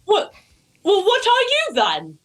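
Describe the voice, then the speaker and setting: Woman plays a solemn questing king, unhurried, formal declarations. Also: very high-pitched, huge pitch swings, voice pushed up, heavily acted. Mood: commanding. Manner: formal, measured proclamations. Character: stiff, dignified legendary king on a quest. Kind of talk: amateur comedy script read-through.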